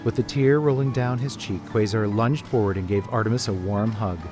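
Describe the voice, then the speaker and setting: Narrator: male, low voice, scripted fiction reading, faint music bed. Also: brisk.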